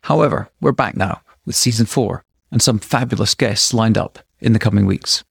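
A man hosting a podcast, who reads his intro smoothly and chats with small restarts. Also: fairly low pitch, moderate pace, no echo, studio quality. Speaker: podcast host. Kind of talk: interview podcast with scripted intro. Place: home in Brooklyn, remote call.

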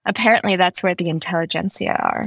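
A woman's voice: quick pace, creaky, breathy edge. A woman with an American accent, born in Russia, talking casually as a writer guest, witty with frequent laughs. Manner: witty, laughs often, lively jumps in pitch. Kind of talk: casual interview chat. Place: San Francisco.

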